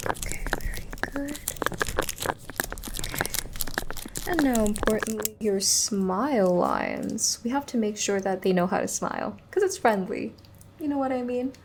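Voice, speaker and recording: A woman plays a female entity examiner in an ASMR horror roleplay, with a high, slightly creaky voice. She instructs softly and soothingly, slipping into whispers, gently unsettling in her reassurance. The close microphone picks up some background noise. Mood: soothing, clinical.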